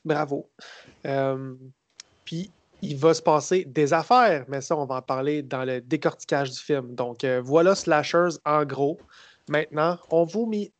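Sarcastic Québécois man with a higher voice. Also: no echo. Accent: Québécois French. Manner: sarcastic, rambling, halting storyteller. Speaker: podcast co-host. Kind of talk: casual podcast chat about films.

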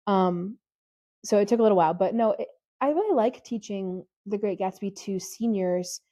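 A woman who teaches high school, talking casually about her classes in a mellow voice. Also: moderate pace, no echo, clean studio sound.

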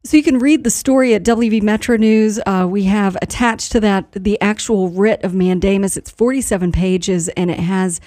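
A woman hosting a local radio news recap, speaking in a conversational, somewhat halting way. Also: mid-range voice, mellow, dry recording, studio quality. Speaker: news host.

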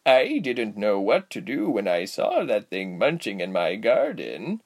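A man voicing a cheerful, giggly elderly village healer woman in a storybook, with a slow, kindly teasing delivery. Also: on the low side, huge pitch swings, exaggerated voice. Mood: amused, cheerful. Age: older. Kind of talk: storybook character voice.

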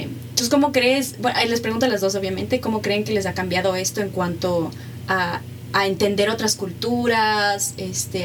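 A woman from Ecuador hosts a casual talk, thoughtful and asking open questions. Her voice is mid-pitched and sounds a little distant from the mic, with faint noise behind it. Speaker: host. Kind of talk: casual conversation.

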